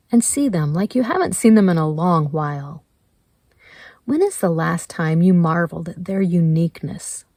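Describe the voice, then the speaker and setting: Slow-paced woman leading guided meditation. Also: lowish voice, no echo, studio quality. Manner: gentle, measured, with pauses between prompts.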